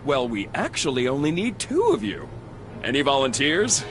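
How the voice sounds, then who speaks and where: An actor playing an eager, easily flustered hash brown sidekick in a children's cartoon game, sounding excitable. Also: male voice, a little high, normal speed, creaky, slight background noise. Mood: earnest. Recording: music behind the voice.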